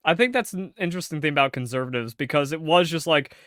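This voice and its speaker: A young man co-hosting a casual podcast, ranting in bursts with lots of pitch jumps. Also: fast talker, dry recording, studio quality. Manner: animated, pitch jumps when fired up. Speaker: podcast co-host. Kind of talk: casual political chat.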